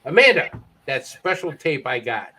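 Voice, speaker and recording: A man's voice: fairly high pitch, big pitch swings, moderate pace, bright, forceful tone. A man co-hosting a casual talk show, presenting a news segment with teasing jokes. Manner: jokey, teasing delivery.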